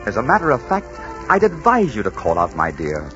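Dashing gentleman bandit, 1940s radio romance. Courtly and reckless, deepish, highly animated, music underneath. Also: fairly quick, lo-fi sound. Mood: bold, ardent. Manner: suave, courtly, murmuring sweet declarations.

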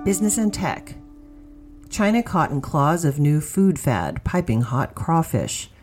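A news reader with a soft, dark-toned voice of medium pitch narrates a business article. The delivery is that of scripted narration, read from the page.

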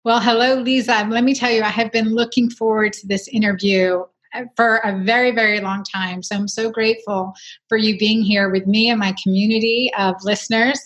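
A woman's voice: medium pitch, fairly even intonation, brisk, fairly bright tone, dry recording. A woman gynecologist hosting an interview podcast, introducing her guest with effusive enthusiasm.

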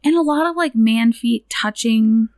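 A woman's voice: a little high, measured pace, darkish tone, no echo, studio quality. A woman co-hosting a podcast, chatting casually about films in a playful, sweary way. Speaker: film podcast co-host.

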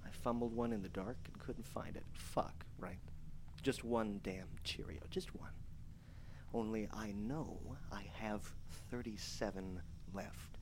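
An actor playing a starving, trapped male survivor in an audio horror drama, desperate and darkly joking, talking in a halting, stammering way between murmurs and strained yells. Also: lowish voice. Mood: wry, exhausted. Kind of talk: live audio horror drama, recorded diary monologue. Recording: clearly noisy live stage recording.

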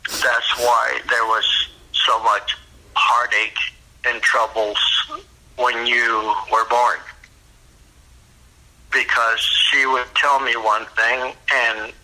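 A middle-aged or older man talking intimately and earnestly on a phone call, tender, pleading and halting, sounding choked up. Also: mid-range voice, little pitch movement, pushed and bright. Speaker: father talking with his adult daughter. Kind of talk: intimate, emotional phone conversation. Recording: phone call heard through a speaker.

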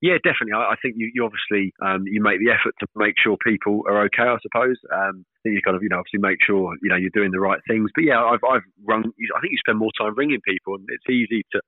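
A man in his thirties, a professional cricketer, talking casually in an interview, fairly monotone and hesitant with many fillers and restarts. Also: fairly low pitch, quick pace, hardly any pauses, no echo. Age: 30s. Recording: tinny dry phone line.

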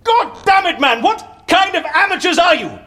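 An actor playing an exasperated, sardonic fixer of faked deaths in an audio drama, with a theatrical manner. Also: male voice, extremely high pitch, very animated, normal speed, sharp tone, full character voice. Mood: outraged. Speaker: fixer who stages fake deaths. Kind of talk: audio fiction acting.

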